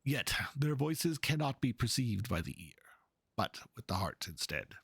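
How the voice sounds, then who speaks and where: A man playing an earnest, pompous painter in a dramatic reading of game dialogue, speaking in a florid, halting, theatrical way. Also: mid-range voice, sing-song, vocal fry, husky, no room sound, heavily acted. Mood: reverent, fervent. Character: theatrical, earnest male painter, pompous and fervent about art.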